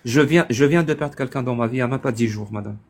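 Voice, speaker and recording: A man speaks firmly in a live online debate, hammering his point with repeated, pointed questions. His voice sits at a middle pitch. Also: fast talker, darkish tone. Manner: insistent, pressing questions.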